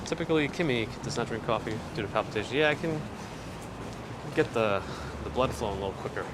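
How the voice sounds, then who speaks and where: A man's voice: medium pitch, fast talker. A man strolls down a city street at sunrise and chats easily, reading out viewers' messages and answering them as he goes. His voice is recorded on a phone on a handheld gimbal, with heavy traffic and street noise all around him. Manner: relaxed, conversational, reads out and replies to chat messages. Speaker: live-stream walking host. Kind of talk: casual chat answering live viewer comments while walking. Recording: loud street noise outdoors.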